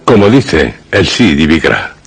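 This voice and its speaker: A man narrates ominously in an extremely deep, gravelly voice. He is slow and solemn, breaking phrases with dramatic pauses, while music plays behind him. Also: sing-song. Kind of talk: ominous scripted horror radio narration. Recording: music under the voice.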